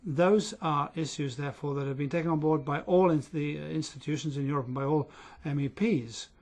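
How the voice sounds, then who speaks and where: A man interpreting at a parliament committee hearing, speaking in a steady, measured way with an uneven pace. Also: on the high side.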